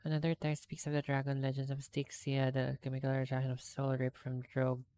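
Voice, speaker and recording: A woman game streamer reading game text and dialogue aloud in a measured, deliberate way. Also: very deep voice, completely flat delivery, mellow, slight background noise.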